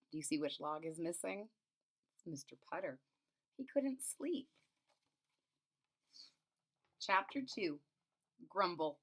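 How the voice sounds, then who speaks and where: A woman reads a children's picture book aloud expressively, adding simple explanations as a teacher would. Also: fairly low pitch. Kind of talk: children's storybook read-aloud with asides.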